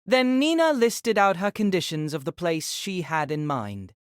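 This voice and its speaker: A woman with a low voice narrates a novel in steady storytelling, dry and close to the mic. She also reads the male lead's lines. Her delivery is measured and even. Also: clean tone, no echo.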